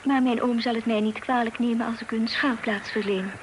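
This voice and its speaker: A woman's voice: a little high, fairly even intonation, a little fast, background noise, close to an everyday voice. An actress playing a reserved young lady of a country estate in a Dutch radio play, composed and formal in manner.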